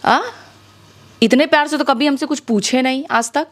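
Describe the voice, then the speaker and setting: A woman's voice: high-pitched, moderate pace. A young woman with a Bihari accent speaking as an interview guest, emphatic and insistent, often throwing out rhetorical questions. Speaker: interview guest, music-video actress. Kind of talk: spoken interview, airing grievances.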